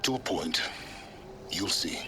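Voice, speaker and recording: An actor playing an aloof, calculating middle-aged scholar in a video game drama, speaking in a measured, cryptic, formal way. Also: male voice, sits low, animated, noisy background. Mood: brisk.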